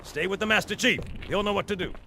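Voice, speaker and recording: An actor playing a gruff, folksy, cocky marine sergeant who barks rousing orders with theatrical swagger in a game. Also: male voice, sits high, fast talker, exaggerated voice. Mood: steady, reassuring. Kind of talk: video game cutscene acting. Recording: loud music over the dialogue.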